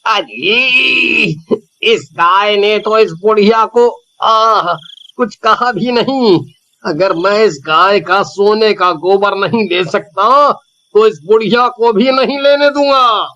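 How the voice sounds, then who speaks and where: A man voicing a scheming, envious villager in a cartoon folktale, with exaggerated, drawn-out musing and exclamations. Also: high voice, pushed and bright, heavily acted. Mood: aggrieved, spiteful. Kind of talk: Hindi cartoon story voice acting.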